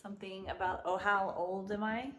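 A woman's voice: medium pitch, level tone, normal speed, a bit bright, slight background noise. A woman in her forties talking in a family home video, hesitant and thinking aloud, picked up by a phone camera across a living-room couch. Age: 40s.

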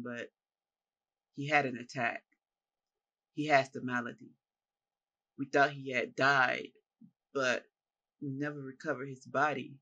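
A narrator voicing a seasoned male martial arts master who talks in a low, steady, explaining way. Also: muffled recording.

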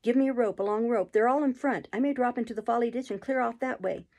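Villain for a storybook, woman acting a menacing man, desperate ruffian, loud forceful orders, gravelly edge. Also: on the high side, fast talker, pitched above the performer's own voice. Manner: fierce, forceful commands. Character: desperate, menacing male murderer, fierce brutal ruffian.

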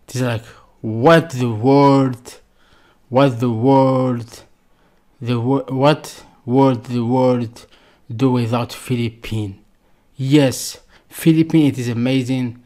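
A man's voice: medium pitch, a little mellow. Effusive Algerian man reacting, repeating himself. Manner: effusive, repeats words and phrases, non-fluent English. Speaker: reaction video host. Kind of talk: casual reaction commentary.

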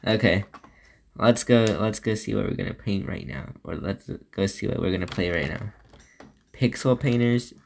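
A woman's voice: a bass voice, normal speed, creaky, darkish tone. A woman with a Southern US accent giving solo gameplay commentary, rambling and talking to herself between moves. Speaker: gaming YouTuber.

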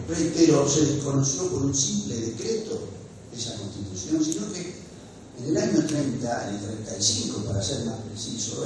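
A man's voice: medium pitch, a little mellow, a little hiss or hum. Earnest older Argentine man in echoey hall. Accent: Argentine Spanish. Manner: measured and earnest, gestures with his hands, pauses often mid-phrase. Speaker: speaker at a book presentation. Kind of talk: formal public speech. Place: wood-panelled university hall, indoors. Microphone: desk microphone on a stand. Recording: very echoey hall, voice picked up from a distance.

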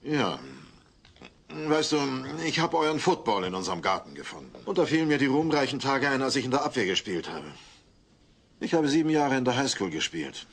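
An actor playing a jovial, sheepish middle-aged neighbour in a German audio play, chummy and a little hesitant. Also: male voice, mid-range voice, big pitch swings, fairly quick, husky.

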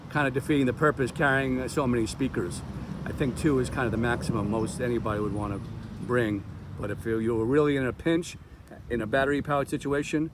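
A man giving casual product demo commentary as a gear reviewer, talking outdoors in the open. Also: mid-range voice. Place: open park lawn outdoors. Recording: outdoor recording with street traffic noise. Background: passing cars, outdoor ambience.

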